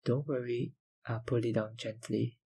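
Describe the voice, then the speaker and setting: A man playing an obsessive, dominant, hungry vampire researcher in an intimate ASMR roleplay, with a breathy, gravelly voice and a softly menacing manner. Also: medium pitch, steady tone. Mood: smug. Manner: slow, intimate, softly menacing, lapsing into whispers.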